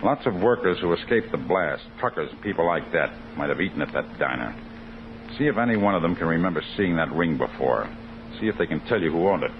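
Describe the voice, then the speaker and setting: An actor playing a stern district attorney in a 1950s American radio crime drama, speaking with clipped, insistent authority. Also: male voice, sits low, expressive, fairly quick. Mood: brisk, commanding. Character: stern, commanding male district attorney investigating a case. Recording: noisy, muffled 1950s radio recording.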